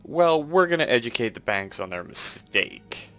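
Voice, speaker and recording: A stuffed-up, nasal Canadian man talks through a game at an even middle pitch, casual and chatty. He explains each choice as he makes it, with soft music running underneath. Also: moderate pace. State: head cold. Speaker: let's play host. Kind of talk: casual gameplay commentary.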